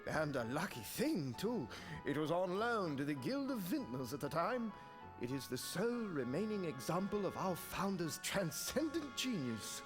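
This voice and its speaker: An actor playing a fussy, effusive glassmaker guide in a fantasy game, theatrical and dithering. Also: male voice, fairly high pitch, very animated, moderate pace, music in the background. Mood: fussy, pleased. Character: fussy, chattering male glassmaker guild guide. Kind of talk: game voice acting.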